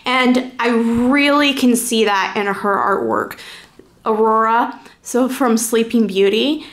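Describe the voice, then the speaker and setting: A woman's voice: fairly high pitch, animated, slow, a bit bright. A woman talking casually and informally as she gives a first-impressions review, thinking aloud as she goes. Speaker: reviewer presenting a card deck.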